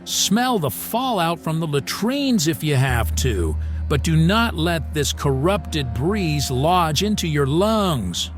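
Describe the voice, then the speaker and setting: A man voicing a grave plague doctor who gives stern, deliberate warnings in an audio drama. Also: on the high side, big pitch swings, faint music behind, voice pushed up.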